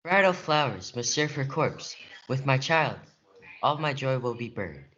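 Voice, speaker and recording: A man's voice: medium pitch, expressive, moderate pace, sharp tone, dull audio. A young man playing a domineering, hot-tempered noble father in a stage-play reading. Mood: grieving.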